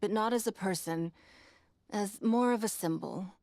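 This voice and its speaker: An actress playing a composed, powerful woman leader in a video game, speaking in a measured, formal way and protective of children. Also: mid-range voice, darkish tone, no room sound, lightly acted. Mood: resolute. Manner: measured, formal, deliberate delivery.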